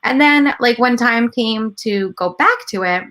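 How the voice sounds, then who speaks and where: A woman's voice: on the high side, animated, unhurried, fairly bright tone. A woman in her thirties whose first language is Gujarati, telling her story in a casual interview and often quoting her own past thoughts. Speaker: guest, entrepreneur and mother.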